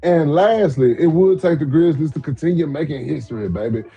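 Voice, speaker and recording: A man's voice: fairly high pitch, brisk, mellow, slightly rough, muffled recording. A man in his forties co-hosting a podcast, talking loosely and emphatically in slang with plenty of repeats. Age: 40s. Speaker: podcast co-host. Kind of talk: casual sports chat.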